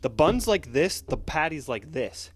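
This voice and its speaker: A young man co-hosting a casual comedy podcast, telling animated stories and laughing often. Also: fairly high pitch, unhurried, husky, dry recording. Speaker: podcast co-host.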